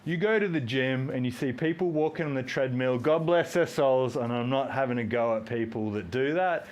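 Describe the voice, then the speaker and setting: A man's voice: medium pitch, normal speed. A man, hosting a fitness live stream, rambling and joking while he does squats and counts reps aloud. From Australia. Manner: talks while doing squats.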